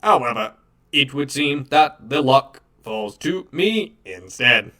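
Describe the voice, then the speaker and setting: A man voicing a scheming male android villain who speaks in a measured, calculating way. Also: medium pitch, expressive, pushed and bright. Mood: gloating. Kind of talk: amateur radio drama acting.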